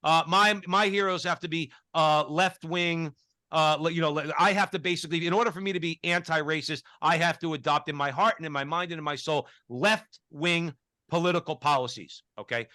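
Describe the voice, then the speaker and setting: A man's voice: on the high side, a little fast, bright, forceful tone, no room sound, clean studio sound. A male co-host of a talk show, speaking emphatically and often repeating words as he starts a point. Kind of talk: casual talk show discussion.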